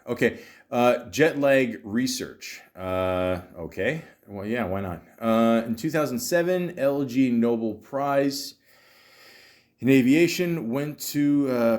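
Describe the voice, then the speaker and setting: A man hosting a casual comedic podcast, rambling and halting, with profane, sardonic asides. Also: on the low side. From Canada. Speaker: podcast host.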